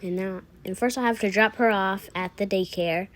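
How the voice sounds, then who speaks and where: A woman's voice: medium pitch, moderate pace. A woman casually improvising narration for a toy-app roleplay video, thinking aloud through each small action. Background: music.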